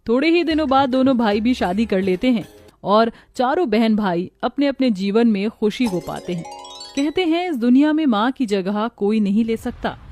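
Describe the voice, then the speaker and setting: A woman's voice: on the high side, warm tone. A woman narrating a scripted moral story as a storyteller.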